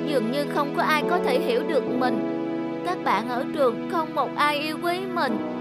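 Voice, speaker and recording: A woman voicing a shy, lonely, hesitant schoolboy in a children's cartoon. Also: sits high. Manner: hesitant, guarded. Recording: music under the voice.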